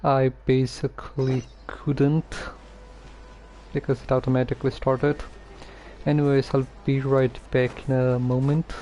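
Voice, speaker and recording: A man casually chatting on a game livestream in a halting, hesitant manner. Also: medium pitch, flat delivery, warm tone, a little hiss or hum. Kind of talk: casual livestream chat while playing.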